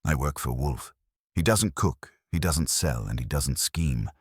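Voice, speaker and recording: A wry, steady male legman talks plainly in a detective audiobook, acted by a man. His voice is extremely deep and husky, with a lively rise and fall. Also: a little slow, dry recording, clean studio sound, full character voice. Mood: steady, pressing. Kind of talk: audiobook character dialogue.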